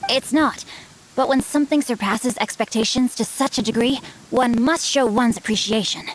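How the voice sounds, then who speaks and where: Eloquent, high-voiced boyish young nobleman, played by a woman. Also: normal speed, close to an everyday voice, poor audio. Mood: composed, smug. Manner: eloquent, formal, dramatic. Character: bookish, theatrical, refined young nobleman, boyish and self-assured. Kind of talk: video game voice acting. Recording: game audio with noise behind.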